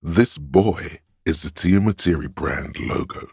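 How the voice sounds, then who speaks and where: A man voicing a pedantic inner voice of encyclopedic knowledge in a game, narrating lore in a measured, learned, didactic way. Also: deep voice, creaky, darkish tone, breathy edge, dry recording, studio quality, exaggerated voice. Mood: scholarly. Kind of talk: scripted narration, delivering trivia.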